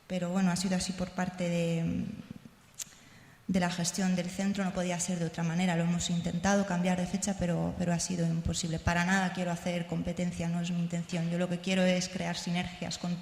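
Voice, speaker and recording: Creaky-voiced woman speaking in an echoey hall. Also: fairly low pitch, completely flat delivery, darkish tone. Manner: hesitant, halting, seeking agreement. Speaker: radio researcher and conference speaker. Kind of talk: informal spoken presentation. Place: large indoor conference hall. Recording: echoey hall, room microphone sound.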